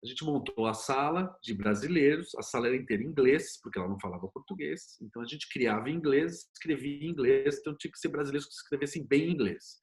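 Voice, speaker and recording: A man's voice: medium pitch, fast talker, hardly any pauses. A Brazilian man speaking as an interview guest in a conversational way, telling anecdotes and explaining with examples and rhetorical questions. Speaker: interview guest, series producer and screenwriter.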